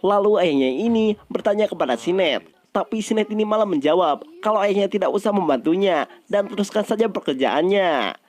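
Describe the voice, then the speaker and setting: A man's voice: high voice, animated, a little fast, darkish tone. A man narrates a story recap. His delivery is colloquial and conversational, like casual scripted storytelling for an online video.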